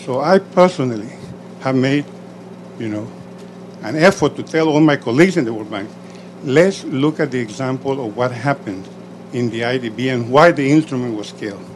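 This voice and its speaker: A man's voice: medium pitch, expressive, rough recording. Middle-aged man from El Salvador, emphatic audience comment, faint room noise. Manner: measured, emphatic, with frequent "you know" fillers. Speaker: audience member, executive director representing Central America. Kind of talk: panel discussion question from the floor. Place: conference room.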